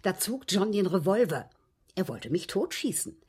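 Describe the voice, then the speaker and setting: An actress playing a genteel, aggrieved widow in a German radio drama, speaking in precise, formal, dramatic phrases with a husky voice. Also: female voice, mid-range voice, very animated, normal speed, darkish tone. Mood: bitter, composed. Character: genteel, aggrieved widow recounting her captivity.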